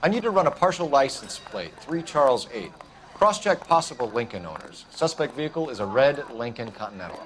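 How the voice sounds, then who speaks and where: A stiff, earnest 1940s detective. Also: male voice, medium pitch, big pitch swings, fairly quick, fairly bright tone. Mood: tense. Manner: clipped, formal questioning. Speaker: police detective. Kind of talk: video game cutscene acting.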